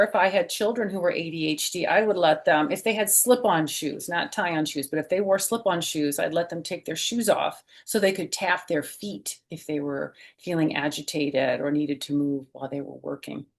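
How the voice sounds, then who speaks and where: A middle-aged woman with a slightly low voice hosts a children's storytime. She reads in a gentle, teacherly way and slips easily into conversational asides, putting questions to her young listeners. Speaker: storyteller reading a children's book, former teacher. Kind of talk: read-aloud storytime with personal asides.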